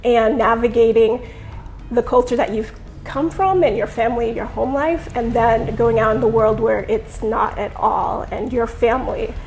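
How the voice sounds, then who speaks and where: Interview guest: woman from Jamaica by way of Long Island, slightly high, gravelly, reflective, measured. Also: a little mellow, a little hiss or hum. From Jamaica, raised on Long Island, New York. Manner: thoughtful, measured, with frequent "um" and "you know". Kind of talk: conversational interview, personal story.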